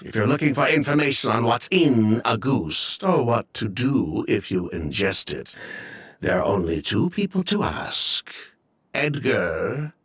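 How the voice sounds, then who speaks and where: An actor playing an evasive ex-convict perfume dealer in a video game, talkative and self-justifying with a husky, theatrical delivery. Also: male voice, medium pitch, big pitch swings, measured pace, a bit bright, exaggerated voice. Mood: dismissive, composed. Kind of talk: dramatic video game dialogue.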